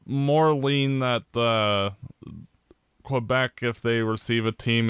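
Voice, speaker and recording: Canadian man, podcast co-host, low smooth voice, blunt opinions, halting restarts. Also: fairly bright tone, no room sound. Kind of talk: casual sports chat.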